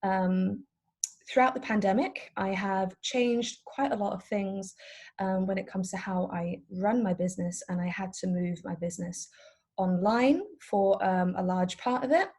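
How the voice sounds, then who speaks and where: A woman's voice: mid-range voice, unhurried, a little mellow, husky. A woman of about thirty from the Netherlands, a native Dutch speaker, giving an informal career talk to young people in a chatty, explanatory way as a personal trainer. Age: around 30. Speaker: personal trainer and business owner.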